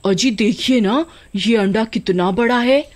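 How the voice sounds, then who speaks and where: A woman voicing a fussy, caring, excitable village wife in a cartoon, fretful and exclaiming. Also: fairly high pitch, unhurried. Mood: excited.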